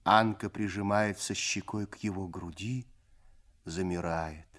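A man's voice: deep voice, fairly even intonation, measured pace, a bit bright. Male narrator of a radio story. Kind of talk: scripted literary narration for radio play.

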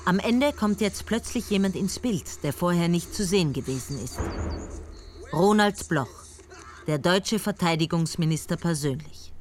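An actress playing a level-headed police colonel who also narrates, speaking in a calm, dry, matter-of-fact way. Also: female voice, fairly low pitch, normal speed, a little hiss or hum, close to an everyday voice. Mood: cool. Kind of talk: radio drama narration and dialogue.

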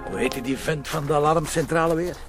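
An actor with a Flemish accent playing a wry, blunt police commissioner in a crime drama, sardonic and colloquial. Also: male voice, a little high, brisk, over loud music, close to an everyday voice. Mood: wry, grumbling.

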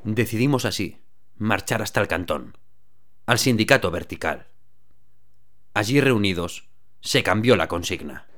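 A man narrating a radio drama in the first person, telling the story in a steady, even way. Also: lowish voice, unhurried, long pauses.

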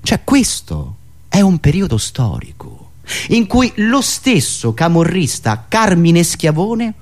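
A man delivering a satirical political monologue in an indignant, sarcastic, declamatory manner with dramatic pauses and repetitions. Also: fairly high pitch, wildly swooping pitch. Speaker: satirical monologist and radio show host.

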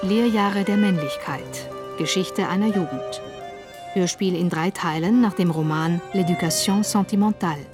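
A female announcer reads radio drama credits from a script. Her voice is a little low, with music clearly playing behind it. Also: moderate pace.

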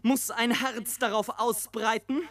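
A man's voice: extremely high pitch, level tone, measured pace, a bit bright. An actor playing a sardonic airship traveler in a literary radio play, declaiming his journey with theatrical irony. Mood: mocking, ironic.

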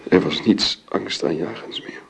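An actor in a Dutch radio drama playing a gentle husband and father, speaking tenderly and haltingly in a hushed way. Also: sits low, vocal fry, slight background noise. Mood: grieving, exhausted. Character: grieving gentle husband and father at his wife's deathbed.

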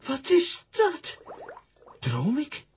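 A woman voicing a frail, sentimental male talking coffee pot in a children's audio drama, halting and sighing. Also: high-pitched, huge pitch swings, faint music behind, heavily acted. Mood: weak, dazed.

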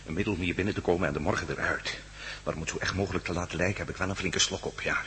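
A man's voice: sits low, fairly even intonation, rapid-fire, a bit bright. A man acting in a Dutch radio play as a furtive, tipsy go-between, muttering conspiratorially. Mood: furtive, sly. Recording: faintly noisy radio drama recording.

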